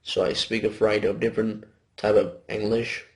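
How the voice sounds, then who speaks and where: A man's voice: lowish voice, level tone, fairly quick. A young man with an Indonesian accent gives an informal class presentation, explaining loosely with self-corrections. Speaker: student presenter.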